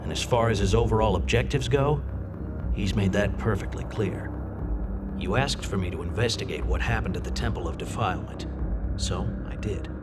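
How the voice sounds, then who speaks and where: A man's voice: lowish voice, moderate pace, natural voice. An actor playing a scheming, manipulative male senator with a persuasive, insinuating delivery in a video game cutscene. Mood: sly, persuasive. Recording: loud music under the voice.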